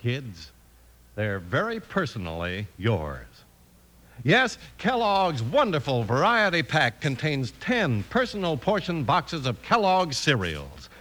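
A man's voice: medium pitch, wildly swooping pitch, slow, fairly bright tone. An American man announcing and reading sponsor spots in the style of 1950s radio. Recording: vintage radio broadcast. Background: music.

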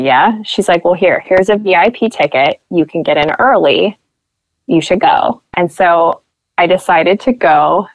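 A young woman from Nebraska with a flat Midwestern accent, chatting as a podcast guest and acting out quoted lines in a lifted voice. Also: fairly low pitch, no room sound, low-quality recording. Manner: chatty, self-deprecating, acts out quotes with a lifted voice. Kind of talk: casual interview storytelling.

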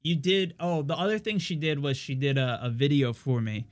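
A man's voice: a little high, moderate pace. A young man hosting his stream, chatting casually with viewers in a rambling way full of fillers and restarts.

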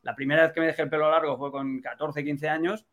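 A man from Catalonia, a football TV presenter, guest on a live podcast chat, talking in an animated, opinionated way with many fillers over a video call. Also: fairly high pitch, fast talker. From Catalonia, Spain.

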